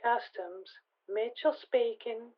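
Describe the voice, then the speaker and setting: Dull-sounding, very slow customs officer woman acting. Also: on the high side. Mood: matter-of-fact. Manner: terse, unhurried, matter-of-fact. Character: laconic customs officer on the telephone. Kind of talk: 1940s detective radio play acting. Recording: dull, muffled telephone-style sound.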